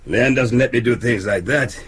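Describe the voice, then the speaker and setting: Very raspy, frail old man. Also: mid-range voice, normal speed, a bit bright. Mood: wary, feeble. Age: 60s. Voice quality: husky, raspy. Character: frail, meek 68-year-old male car park worker. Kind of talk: broadcast radio drama acting.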